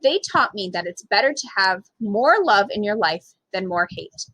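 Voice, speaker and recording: A woman's voice: mid-range voice, moderate pace, slightly rough, no echo, rough recording. A woman reading a children's picture book aloud as a storyteller, expressively acting out the dialogue. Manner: animated, acts out dialogue.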